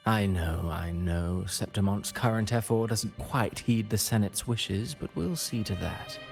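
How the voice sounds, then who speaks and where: A man playing a calculating, courtly villain in a video game, with soothing, measured and eloquent delivery. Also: on the low side, vocal fry. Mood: courteous, sly. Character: suave, calculating male villain with theatrical, courtly speech. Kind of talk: scripted video game acting. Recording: music playing behind the dialogue.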